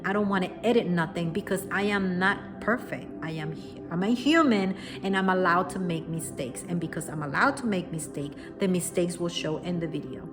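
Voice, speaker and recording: A woman with a strong Puerto Rican accent talks straight to her viewers in an earnest, reassuring way, teaching without a script. Her voice sits on the low side for a woman. Music plays clearly underneath her the whole time. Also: normal speed, few pauses. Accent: Puerto Rican Spanish. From Puerto Rico. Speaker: spiritual teacher. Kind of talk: unscripted spoken lesson to camera. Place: at home, quiet room. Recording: music playing behind the voice.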